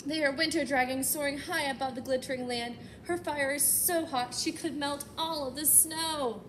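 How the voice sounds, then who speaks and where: A woman reading a picture book aloud as a storytime host, with expressive, animated delivery and chatty asides aimed at young children. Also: high-pitched, moderate pace, background noise.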